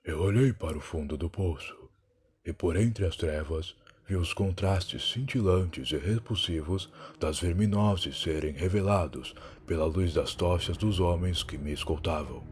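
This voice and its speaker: Proud, weary time traveler played by a man, Brazilian accent, low husky voice, solemn dramatic narration for an audio drama. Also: measured pace. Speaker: narrator and lead character. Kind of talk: dramatic first-person scripted narration.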